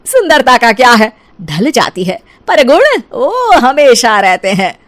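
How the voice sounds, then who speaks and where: A woman's voice: a little high, very animated, takes it slowly. A woman voicing a proud middle-aged mother-in-law in a cartoon story, motherly but chiding and emphatic. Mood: preachy. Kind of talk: cartoon story character acting.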